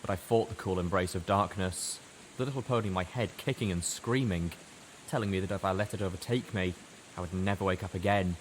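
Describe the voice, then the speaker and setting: Low-voiced man narrating dramatic fiction. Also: steady tone, fairly quick, rough recording. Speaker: story narrator. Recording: faint background hiss.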